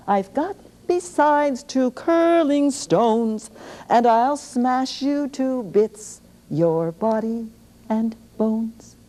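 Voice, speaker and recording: A woman's voice: on the high side, a little mellow, voice pushed up. A woman chanting as a bold big billy goat.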